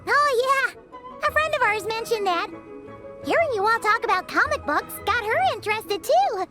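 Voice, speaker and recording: A woman voicing a tiny, cheerful, chatty fairy companion in a video game, with a squeaky childlike voice. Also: very animated, normal speed. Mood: friendly, curious. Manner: bubbly, emphatic. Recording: music behind the voice.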